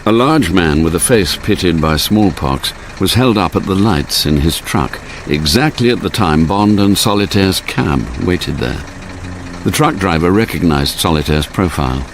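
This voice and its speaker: An actor in a radio drama, playing a suave secret agent and narrating in a dramatic style. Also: male voice, deep voice, animated, a little slow, a little hiss or hum. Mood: measured suspense.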